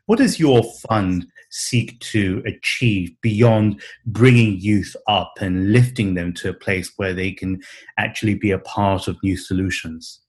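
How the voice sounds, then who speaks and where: A man interviewing a guest, asking formal, measured questions. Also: fairly low pitch.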